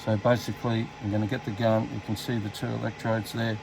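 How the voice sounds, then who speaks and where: An Australian man with a fairly low voice explains a hands-on job step by step. His delivery is casual and unscripted, with halting phrasing as he works. The homemade indoor recording carries some faint noise. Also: level tone. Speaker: hobbyist demonstrating a radio repair. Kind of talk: casual hands-on tutorial, talking while working. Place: indoors at a repair workbench. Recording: clearly noisy amateur workbench recording.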